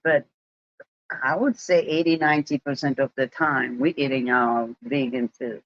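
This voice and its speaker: A man from Ethiopia hosting a casual podcast chat, eager and quick to chime in with agreement. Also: mid-range voice, steady tone, a bit bright, no echo, muffled recording. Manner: eager, chiming in with short agreements.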